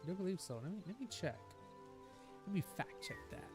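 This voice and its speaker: A man's voice: sits high, big pitch swings, fairly quick. A man casually rambling through commentary while he plays a building game, with a lingering cough that keeps breaking in. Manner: chatty, rambling and upbeat. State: nagging cough. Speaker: gaming streamer. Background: game music.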